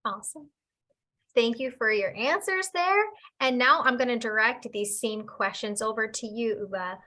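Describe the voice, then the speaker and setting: A woman's voice: fairly high pitch, moderate pace, bright, forceful tone. A woman moderating a university webinar, introducing the students and asking them questions in a cheerful, upbeat way over a video call.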